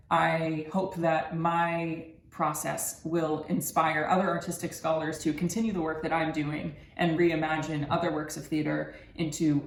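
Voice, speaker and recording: A man in his early twenties giving a scripted research presentation as a student presenter. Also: fairly high pitch. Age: early 20s. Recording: roomy echo with background noise.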